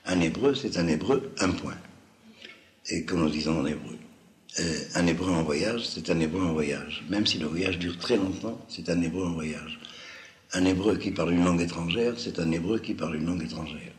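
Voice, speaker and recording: A man from Algeria, a native Judeo-Arabic speaker with a slight Toulouse-like French accent, giving an unscripted, didactic lecture in a halting way with emphatic repetitions. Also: sits low. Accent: slight southwestern French (Toulouse-like). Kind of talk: extemporaneous lecture to listeners. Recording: roomy echo, faint background noise.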